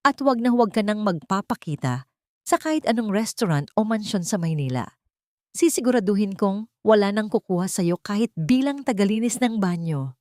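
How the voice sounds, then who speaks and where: A woman's voice: mid-range voice, expressive, a little fast, darkish tone, no echo, voice pushed up, lightly acted. A stern, moneyed matriarch of audio drama, played by a female narrator, speaks in a close, dry recording. Her manner is cold, final and commanding, as if every word were a verdict. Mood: cold menace. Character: stern rich matriarch coldly banishing a servant. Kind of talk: audio drama acting in Tagalog storytelling.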